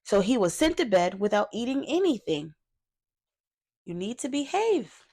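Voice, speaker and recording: A woman's voice: on the high side, sing-song, moderate pace, a little mellow. A woman hosting a read-aloud for young children, reading a picture book and talking to the listeners with questions and little asides.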